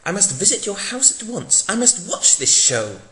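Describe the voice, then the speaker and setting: A man's voice: high-pitched, very animated, normal speed, bright, forceful tone, pitched above the performer's own voice, exaggerated voice. A man voicing a solemn, pompous time-travelling hero in a dramatic reading, with stilted, declamatory delivery. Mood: earnest. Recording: echoey room.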